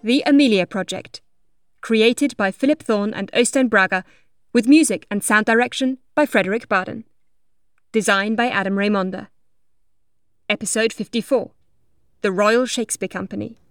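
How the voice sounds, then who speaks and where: A woman's voice: mid-range voice, normal speed, pauses often, no room sound, studio quality. A woman reading scripted show credits as an announcer.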